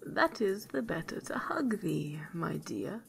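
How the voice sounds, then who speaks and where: A woman's voice: lowish voice, big pitch swings, vocal fry, a little hiss or hum, heavily acted, low-quality recording. A woman voicing a sly, hungry male wolf in a storybook tale, with coaxing, predatory speech. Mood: softly coaxing. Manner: softened, coaxing, drawn-out speech.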